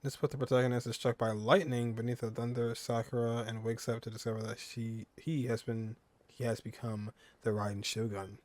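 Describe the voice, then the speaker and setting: A man's voice: medium pitch, level tone, fairly quick, a little mellow. A man streaming a game, giving casual, offhand commentary and reading on-screen text. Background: game music.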